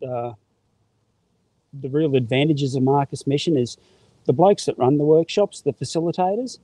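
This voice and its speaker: A man's voice: fairly high pitch, moderate pace, dark tone, no room sound. A man with an Australian accent speaking as a guest in a casual chat, easygoing and sincere, laughing along.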